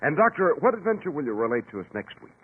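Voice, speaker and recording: A man's voice: a little high, big pitch swings, fast, a little hiss or hum. A man with an American accent announcing in formal, emphatic 1940s scripted radio style. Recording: tinny 1940s broadcast over organ music. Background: loud-ish organ music.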